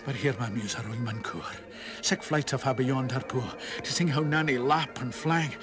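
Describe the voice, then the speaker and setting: Theatrical man reciting over loud music. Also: mid-range voice, moderate pace. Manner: theatrical, with big swings from hushed to roaring. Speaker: poetry reciter. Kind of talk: dramatic recitation of a narrative poem.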